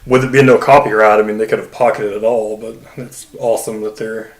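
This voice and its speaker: A man's voice: lowish voice, level tone, fairly quick, reverberant, poor audio. A man co-hosting a podcast, chatting casually in an easygoing, rambling way. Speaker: podcast co-host. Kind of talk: casual chat.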